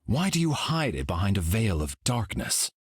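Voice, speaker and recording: An actor voicing a serene, solemn golden cosmic hero for a video game, speaking in a calm, measured, grave way. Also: male voice, fairly low pitch, slightly rough, no room sound, studio quality.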